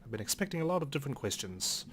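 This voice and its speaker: A man's voice: medium pitch, very animated, very fast, fairly bright tone. A man hosting a let's play, reading visual novel narration aloud expressively with wry asides, over faint game music.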